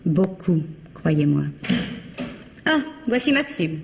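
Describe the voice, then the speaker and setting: An actress playing a cold, haughty bourgeois sister-in-law in a French radio mystery, with a condescending, formal, clipped delivery. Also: female voice, lowish voice, very animated, takes it slowly, vocal fry, slight background noise, exaggerated voice. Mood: menacing.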